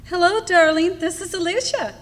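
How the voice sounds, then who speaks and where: Woman acting a very high-voiced, gushing young sweetheart. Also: moderate pace. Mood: pleading, cooing. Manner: coy, cooing, effusive. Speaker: actress in a live radio play. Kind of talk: old-time radio drama acting. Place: club hall stage. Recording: noisy reverberant hall.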